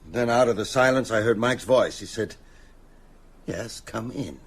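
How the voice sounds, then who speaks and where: An actor playing a frightened, haunted man in an audio drama, insistent and urgent, with halting delivery. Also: medium pitch, husky. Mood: haunted, hushed. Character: frightened older male teacher, insistent, haunted, wryly self-mocking.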